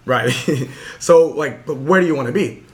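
A young man hosting a podcast, telling a motivational story in a casual, earnest way with lots of fillers. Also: fairly high pitch. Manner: fast, earnest, full of fillers. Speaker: podcast host.

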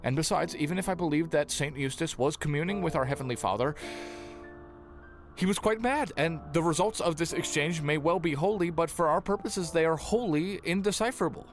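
Prim Puritan minister, played by a man: higher-pitched male voice, stammering, formal period diction, music underneath. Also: fairly quick, lightly acted. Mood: stern, exasperated. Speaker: voice actor in an audio drama. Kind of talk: period audio drama acting.

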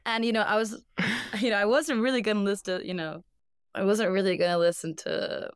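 A woman's voice: medium pitch, fairly even intonation, brisk, clear, resonant tone, no echo, clean studio sound. A young woman from Iceland, a band's singer, telling stories in a casual interview with frequent laughs. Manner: reflective, laughs often, storytelling. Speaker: band singer, guest.

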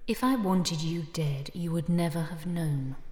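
Woman playing a low-voiced scheming noblewoman, echoing hall. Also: dark tone, natural voice. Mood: cold, controlled. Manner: controlled, haughty, measured. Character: cold, calculating noblewoman, scheming younger-adult sister. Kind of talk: high fantasy audio drama acting. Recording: echoey hall with long reverb.

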